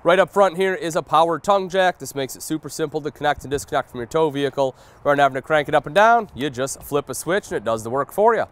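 A man's voice: fairly high pitch, animated, quick pace, few pauses. An American man in his thirties giving an explanatory product walkthrough as a presenter, addressing viewers directly in a folksy way. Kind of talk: sales walkthrough review, explanatory. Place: outdoor paved RV lot between parked trailers.

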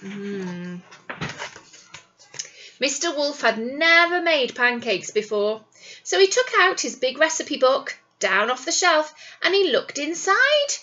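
A middle-aged woman, a primary teacher reading a picture book to young children in an animated, explanatory way with questions to them. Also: high-pitched, slow, muffled recording, slight background noise. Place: small indoor classroom. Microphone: webcam or device at arm's length.

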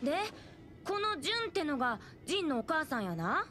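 A woman's voice: high-pitched, very animated, fairly quick, music in the background. A woman voicing a brash, blunt, spirited young fighter with a Kansai accent in a game cutscene. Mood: flustered, irritated. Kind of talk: Japanese video game cutscene acting.

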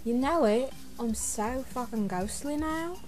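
A woman's voice: sits high, lively intonation, a little slow, darkish tone. A woman voicing a swaggering, sassy young troll empress with boastful slangy talk in an amateur cartoon fandub. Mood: smug. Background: music.

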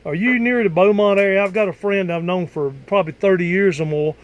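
Male amateur radio operator, voice a little high, casual on-air chat style. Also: brisk, muffled recording. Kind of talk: casual ham radio conversation.

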